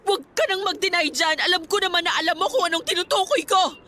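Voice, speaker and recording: High-voiced, distraught young woman. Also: fairly even intonation, fast, sharp tone. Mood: hurt, angry. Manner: pleading, emotional. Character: distraught young student daughter, wronged and ashamed. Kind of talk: radio drama acting. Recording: music under the voice.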